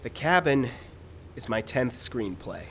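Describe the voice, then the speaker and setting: An actor playing a rueful, hesitant struggling screenwriter in a live radio drama, speaking earnestly. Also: male voice, medium pitch, lively intonation, unhurried, a bit bright. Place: live radio show in an outdoor park. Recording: live outdoor stage recording, background noise.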